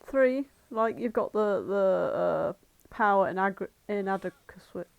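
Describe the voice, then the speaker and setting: Woman, English accent, mid pitch, casual comedic podcast chat, dry acoustics. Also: lively intonation, unhurried, gravelly, clear, resonant tone, no room sound, muffled recording. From Birmingham, England. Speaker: podcast co-host.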